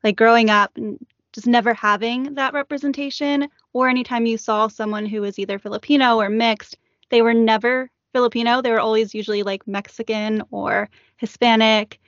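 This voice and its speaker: A woman's voice: on the high side, fairly even intonation, normal speed, no echo, highs cut off. A woman co-hosting a casual panel chat, using "like" often. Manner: says like often. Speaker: recurring co-host.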